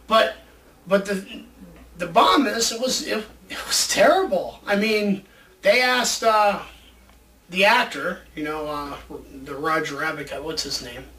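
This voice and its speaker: Bright, high-pitched man chatting, distant mic. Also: expressive, a little slow. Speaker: show host. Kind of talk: casual chat about movies. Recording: distant mic, faint background noise.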